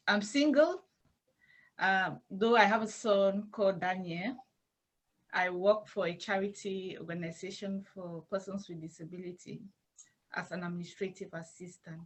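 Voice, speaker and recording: A woman with a Cameroonian accent answering interview questions as a church intern, in formal, halting, measured phrases. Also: on the low side, fairly bright tone, low-quality recording.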